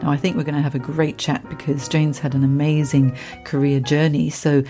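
A woman's voice: sits low, level tone, fairly quick, warm tone, faint music behind. A woman hosting a podcast interview, reading an introduction and asking questions in an effusive way. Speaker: podcast host.

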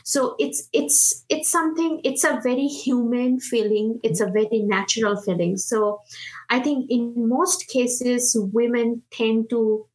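A woman's voice: fairly high pitch, steady tone, a little slow, a little mellow, low-quality recording. A woman in her thirties from India with an Indian accent, a product manager talking earnestly in an interview, encouraging and full of fillers and restarts. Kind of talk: casual interview talk.

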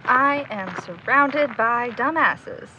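A woman's voice: a little high, slow, bright, forceful tone, dull audio, slight background noise, full character voice. A woman playing a bossy, exasperated leader with a dry delivery in an audio drama. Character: bossy, exasperated woman leading a bickering trio. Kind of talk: naturalistic audio drama acting.

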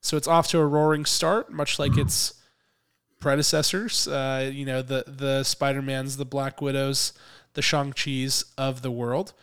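A man co-hosting a podcast in casual chat, a little hoarse from a cold, chatty and quick to laugh. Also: mid-range voice, flat delivery, fairly bright tone. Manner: chatty, laughs often, restarts phrases. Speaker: podcast co-host.

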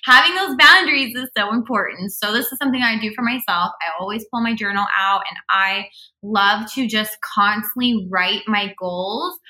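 A woman's voice: medium pitch, moderate pace, sharp tone, low-quality recording. A woman in her thirties chatting earnestly about motivation and gratitude in an upbeat, heartfelt way. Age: 30s. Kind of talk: casual podcast chat.

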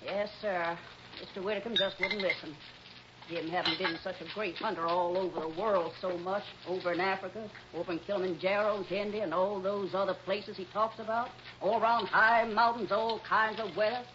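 A woman's voice: medium pitch, steady tone, fairly quick, fairly bright tone, natural voice. A woman playing a bossy, motherly backcountry woman in a 1960s radio drama, with a folksy, insistent, fussing manner. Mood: brisk, fussing. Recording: muffled, noisy old radio recording.

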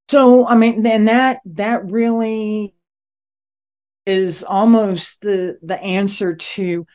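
A woman interviewing a guest in a deliberate way, pausing between phrases. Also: on the low side, dark tone. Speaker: interviewer, radio drama maker. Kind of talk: unhurried interview talk. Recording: dull, lo-fi sound.

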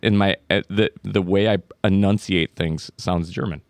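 A man's voice: low voice, fairly even intonation, moderate pace, gravelly, dry recording. A man co-hosting a podcast, teasing his friends with casual, crude banter. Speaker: podcast co-host.

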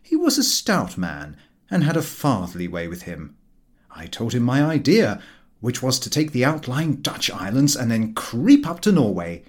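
A man reading a comic novel aloud as a narrator, expressive and drily humorous. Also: mid-range voice, moderate pace. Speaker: audiobook narrator.

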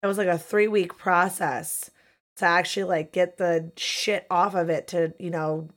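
A woman in her thirties hosting a live-streamed chat show, hoarse from losing her voice, talking casually and swearing freely. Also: fairly low pitch, measured pace. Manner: profane, chatty, animated. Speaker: podcast host.

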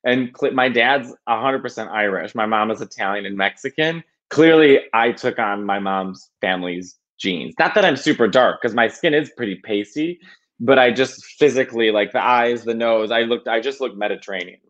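A man as a podcast guest, talking casually in a halting, self-conscious way with many restarts. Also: mid-range voice, fairly bright tone, muffled recording. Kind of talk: casual interview chat.

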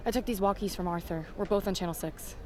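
A woman's voice: mid-range voice, fast talker, noisy background, natural voice. An actress playing a matter-of-fact woman reporter in an audio drama, wry and practical. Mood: businesslike.

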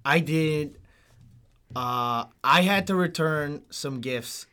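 A young adult man chatting casually with friends, telling stories in an animated, slangy way with swearing. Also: fairly high pitch, slow, clear, resonant tone. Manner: animated, profane, slangy storytelling. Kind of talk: casual storytelling chat among friends.

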